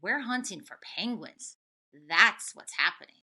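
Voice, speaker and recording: Woman playing forceful, growling, greedy hunters. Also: medium pitch, expressive, a little slow, husky, heavily acted. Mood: gruff, menacing. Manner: chanting, growling, menacing. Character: rough, tough, growling greedy penguin hunters. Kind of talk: children's picture-book villains.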